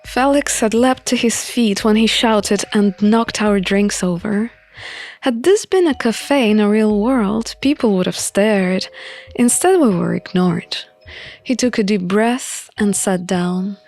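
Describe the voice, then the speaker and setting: A woman's voice: mid-range voice, takes it slowly, a little mellow. A woman reading scripted first-person story narration for a visual novel playthrough, with music behind her. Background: background music.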